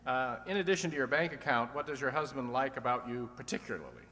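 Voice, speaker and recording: A man's voice: medium pitch, lively intonation, fast talker, pushed and bright. A man playing a sly, teasing host of an old-time radio quiz show, full of dry wisecracks. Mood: wry. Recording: long hall reverb.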